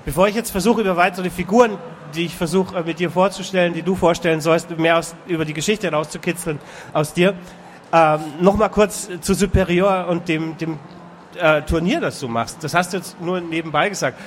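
A man interviewing a guest in casual talk, asking halting questions with many filler sounds. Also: a little high, a little hiss or hum.

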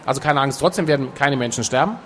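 A man talking casually as a guest in an interview, relaxed and chatty, with frequent filler sounds. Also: mid-range voice, fast, slight background noise. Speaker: author and guest.

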